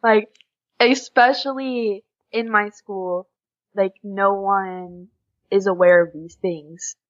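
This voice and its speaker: A young American woman chatting casually as a podcast guest, telling stories in a lively, conversational way. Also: mid-range voice, slow pace. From USA. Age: young adult. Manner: chatty, animated storytelling with quoted dialogue and frequent giggles. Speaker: podcast guest, exchange student. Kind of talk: casual interview chat. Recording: slightly dull, lo-fi audio.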